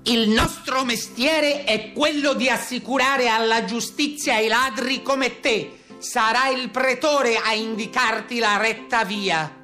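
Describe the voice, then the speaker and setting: A man's voice: very high-pitched, takes it slowly, hardly any pauses, sharp tone, soft background music, voice pushed up, heavily acted. An actor playing a pompous lawman and official of the papal state, formal, officious and declamatory in a radio play. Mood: menacing pomposity.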